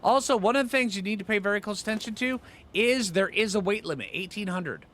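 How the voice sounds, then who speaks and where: A man's voice: high-pitched, expressive, quick pace, fairly bright tone. A man giving casual gameplay commentary, thinking aloud in informal, slangy phrases with rambling asides. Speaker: gaming channel host.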